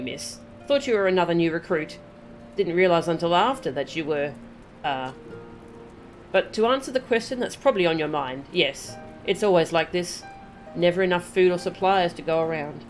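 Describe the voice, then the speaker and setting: A woman's voice: fairly low pitch, lively intonation, normal speed, soft background music, natural voice. Woman reading a weary, earnest rebel soldier. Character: weary, grateful male resistance soldier. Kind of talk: reading game dialogue in character.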